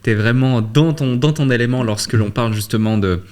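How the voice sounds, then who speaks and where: A man's voice: mid-range voice, a little fast, a little mellow. A man hosting a conversational interview, forming his questions with some hesitation and repeated words. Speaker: podcast host, interviewer.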